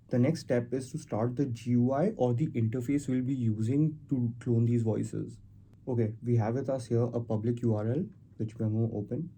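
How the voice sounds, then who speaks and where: A man hosting a casual software tutorial, explaining things step by step as he goes. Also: medium pitch. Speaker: tutorial host. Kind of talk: casual screen-recorded software walkthrough.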